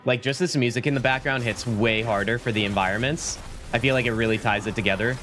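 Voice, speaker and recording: A man in his thirties streaming a game from a small home room on a desk mic, chatting casually and rambling, his voice a little deeper from being sick. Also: steady tone, fast talker, a bit bright. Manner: laid-back, rambling, says "like" and "dude" a lot. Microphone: studio mic at desk, webcam framing. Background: game music.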